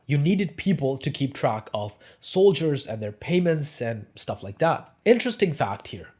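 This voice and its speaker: A man's voice: medium pitch, husky. Urdu-speaking man narrating history. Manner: lively emphasis on transitions, falling at sentence ends.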